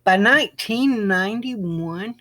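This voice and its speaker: A woman's voice: medium pitch, expressive, takes it slowly, a bit bright. Casting: woman, middle-aged, mid-range voice, informal video creator who rambles and restates points. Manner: repetitive, circling back over phrases. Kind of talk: informal rambling explainer to viewers.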